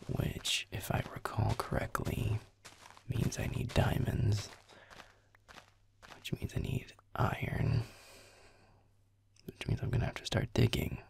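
A man playing a grumpy, irritable young werewolf boyfriend in an audio roleplay, muttering sarcastically in a gravelly, breathy near-whisper. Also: lowish voice, flat delivery, slow, long pauses, a little hiss or hum, heavily acted. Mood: grudging, muttering. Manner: muttering, grudging, sarcastic, sweary. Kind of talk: intimate audio roleplay over gameplay.

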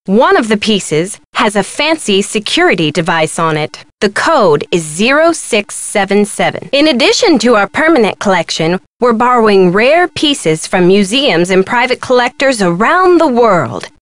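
An actress playing a self-important museum curator in a video game, with a brisk, sardonic, no-nonsense manner. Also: female voice, medium pitch, very animated, dry recording, lightly acted. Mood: brisk, bossy.

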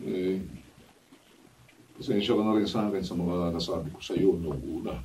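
A man's voice: sits low, moderate pace, dark tone, natural voice. A middle-aged Filipino man acting a blunt, protective father in a radio drama. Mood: conciliatory. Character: blunt, protective father, later conciliatory, narrating his story. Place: indoor radio drama recording studio. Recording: distant camera mic, dull, noisy studio.

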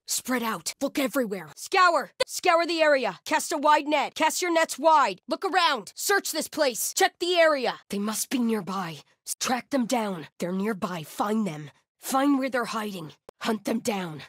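A woman's voice: a little high, a bit bright, no echo, studio quality. A woman playing a grim, fervent cult hunter in a video game, speaking in terse, menacing, commanding barks. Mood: grim, resolute, then shouting, angry. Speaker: video game enemy character.

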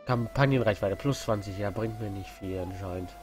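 Relaxed man chatting over soft game music. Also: lowish voice, normal speed. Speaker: let's play co-host. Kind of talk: casual gaming chat.

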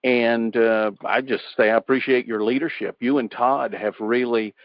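A man's voice: medium pitch, steady tone, moderate pace, no room sound. A man hosting a church radio interview, asking questions in a plain conversational way. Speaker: radio host. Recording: dull lo-fi sound.

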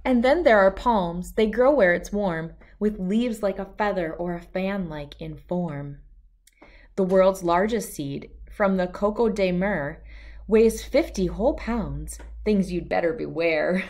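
Slow-paced woman reading a storybook. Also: medium pitch, a little mellow. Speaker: children's book reader. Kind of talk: read-aloud of a rhyming children's picture book.

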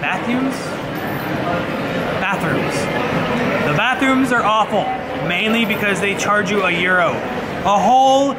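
A man in his thirties vlogging casually, excitable and wisecracking, filming himself at arm's length in a large train station. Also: sits high, a little slow, pauses often. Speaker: travel vlogger. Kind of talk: casual vlog narration. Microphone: handheld camera at arm's length. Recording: loud crowd noise behind. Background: crowd chatter and station bustle.

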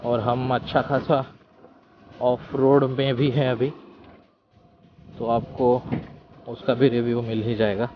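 A man's voice: medium pitch, little pitch movement, takes it slowly, pauses often. A man with an Indian accent giving casual, informal vlog commentary as a motovlogger, mixing two languages as he goes. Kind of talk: casual vlog commentary in mixed Hindi and English. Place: outdoors on rural trails and roads, while riding a scooter. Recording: slightly dull outdoor recording with vehicle noise.

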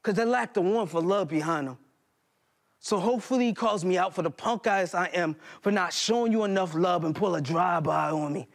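Casting: American male spoken-word poet, high voice, dramatic and deliberate stage delivery with pauses. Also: vocal fry. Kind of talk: performed poetry monologue. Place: indoor hall stage before small audience.

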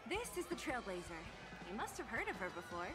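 An actress playing a prickly but soft-hearted young fighter in a video game, curt and exasperated. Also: female voice, medium pitch, huge pitch swings, fairly quick. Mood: dry, composed. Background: game music.

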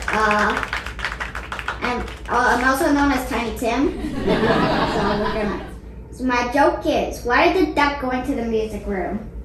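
A woman's voice: fairly high pitch, measured pace, pauses often, close to an everyday voice. A female performer voicing a goofy, boastful stuffed duck in a hesitant, giggly puppet-show comedy act. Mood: showy, amused. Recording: echoey room with loud noise.

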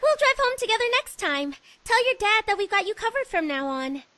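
A woman voicing a lively, teasing teenage schoolgirl in a visual novel. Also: extremely high pitch, a little fast, no room sound, full character voice. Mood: friendly.

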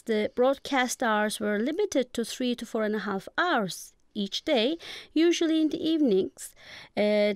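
A woman's voice: fairly high pitch, smooth, no room sound, studio quality. A woman with a Turkish accent, a guest professor giving explanatory answers in a radio interview.

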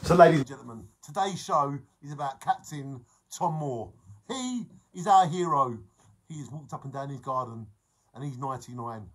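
Excitable man talking fast into his phone. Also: fairly high pitch, breathy edge. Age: 40s. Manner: excitable, sings snatches and repeats phrases. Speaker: vlogger. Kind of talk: livestream chat to viewers. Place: indoor room beside a fish tank. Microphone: phone held close at arm's length.

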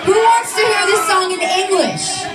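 A woman with a Swiss German accent talking to an audience from a concert stage, shouting her words out to the crowd. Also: extremely high pitch, unhurried, heavy background noise. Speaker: band singer addressing the crowd. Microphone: stage vocal mic through PA, filmed from the crowd. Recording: far-off echoey phone concert recording, loud crowd.

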